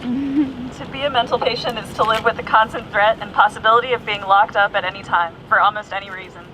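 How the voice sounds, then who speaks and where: A woman's voice: fairly high pitch, fairly even intonation, brisk. A woman reading lines of a poem aloud at a public protest. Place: outdoors at a street protest. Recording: very loud outdoor traffic noise.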